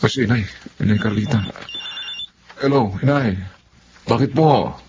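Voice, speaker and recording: A man's voice: medium pitch, unhurried, background noise, close to an everyday voice. A man playing an earnest, wounded husband in a Tagalog radio drama, speaking emotionally with a Filipino accent. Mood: concerned. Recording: dull lo-fi audio under loud music.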